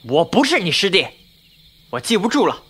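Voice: mid-range voice, very animated, normal speed, fairly bright tone, husky. An actor playing a brash, slangy, easily flustered young man in an audio drama. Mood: exasperated. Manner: crude, slangy, exclamatory.

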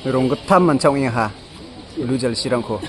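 A man casually commentating a football match from the sideline outdoors, shouting encouragement and laughing often. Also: mid-range voice, normal speed. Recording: crowd noise at an outdoor match. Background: crowd.

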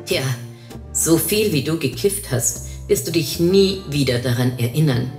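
Woman doing a skeptical newlywed, deadpan, bickering, deep female voice, audio story, faint music. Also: a little slow. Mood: sarcastic. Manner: deadpan, sarcastic. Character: dry, sarcastic, skeptical newlywed, bickering with her wife. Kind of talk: audio short-story dialogue. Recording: roomy echo, faint music.

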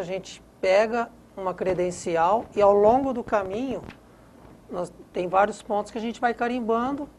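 A man's voice: high voice, a little mellow, a little hiss or hum. A man in his fifties with a Brazilian accent, informally explaining things as a studio guest on a lapel mic. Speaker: guest cyclist.

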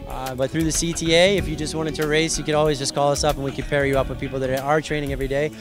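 A young man from Hawaii with a fairly high voice talks through training and gear in loose, easygoing sentences. He speaks into a handheld mic outdoors at a race venue, with music clearly playing behind him. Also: very fast. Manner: relaxed, friendly, explains in loose run-on sentences. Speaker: triathlon association president. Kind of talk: outdoor event interview. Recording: background music at outdoor event.

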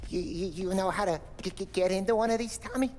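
An actor playing a good-natured car mechanic with a strong stutter, in a cinematic game scene. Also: male voice, high voice, quick pace, close to an everyday voice. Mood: eager, halting. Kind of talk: cinematic video game acting. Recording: clear background noise and clicks.

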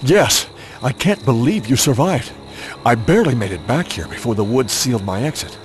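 A man's voice: medium pitch, animated, moderate pace, a little hiss or hum, lightly acted. An actor playing a grave, anxious holy man in a video game, speaking in a solemn and melodramatic way.

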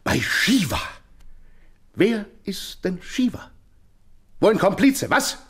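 An actor playing a pompous, jovial, officious police detective sergeant in a German audio drama. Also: male voice, high-pitched, very animated, slow, a bit bright, slightly rough, heavily acted. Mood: officious, accusing. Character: blustering, smug, red-faced police detective sergeant.

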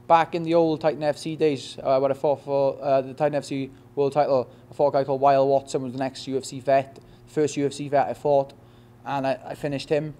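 A young man with a Welsh accent in a post-fight interview, talking quickly with many restarts and "you know what I mean". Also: medium pitch, flat delivery, warm tone. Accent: Welsh, Swansea. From Wales. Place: press backdrop indoors. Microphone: reporters' handheld mics in front.

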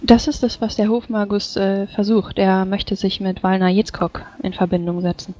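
A woman playing a composed, dutiful mage in a tabletop game, speaking formally and courteously with measured phrasing. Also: medium pitch, flat delivery, mellow, lo-fi sound, close to an everyday voice. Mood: sober, reporting. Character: composed, dutiful female mage of a fantasy order. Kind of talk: tabletop roleplay in character.

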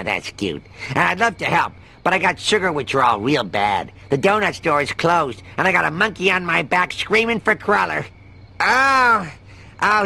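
An actor playing a dim, lazy middle-aged police chief in a cartoon game, nasal, blustery and whiny. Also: male voice, on the high side, creaky, pushed and bright, exaggerated voice. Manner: blustery, whiny, self-important.

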